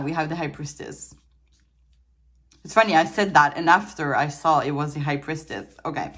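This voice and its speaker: A woman's voice: mid-range voice, a bit bright. A woman from Quebec with a Quebec French accent, French as her first language, giving a casual tarot reading at a table, chatty, laughing often and restarting her sentences, a little tired. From Quebec, Canada. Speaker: tarot reader. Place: indoors at a table with trinkets.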